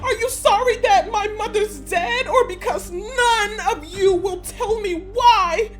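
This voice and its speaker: A man voicing an angry, grieving preteen princess in a shrill, tearful voice for a dark fairy-tale audiobook. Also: slow pace, faint music behind, exaggerated voice. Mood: tearful anger.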